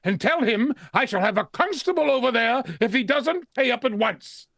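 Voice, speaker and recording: A contemptuous old skinflint for an old-time radio play. The voice is high and creaky, recorded dry and close. He barks his orders and spits out scorn with emphatic force. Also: expressive, normal speed, fairly bright tone, no echo, full character voice. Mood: irritated, demanding. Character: grumpy, miserly old male employer, contemptuous and bullying. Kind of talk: vintage radio drama acting.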